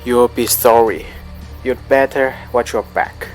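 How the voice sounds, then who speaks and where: A man's voice: medium pitch, fairly even intonation, measured pace, soft background music, natural voice. A man playing an impassioned, combative young Athenian suitor in an amateur radio drama. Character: hot-headed lovestruck young Athenian suitor.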